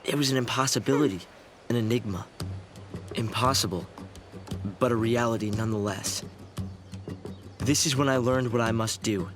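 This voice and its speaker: An actor playing an earnest, idealistic young research director in a video game, speaking in a measured, articulate way. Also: male voice, medium pitch, fairly bright tone, faint music behind, natural voice. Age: young adult.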